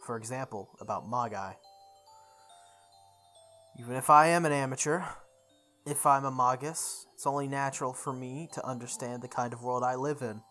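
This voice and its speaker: A let's play host reading a visual novel's story aloud in a deliberate way, with occasional stumbles. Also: mid-range voice, fairly bright tone. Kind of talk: read-aloud narration of a game's story. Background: background music.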